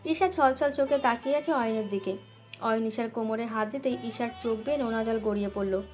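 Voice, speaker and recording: A woman's voice: a little high, brisk, a little mellow, soft background music. A woman narrating a scripted dramatic love story as its storyteller.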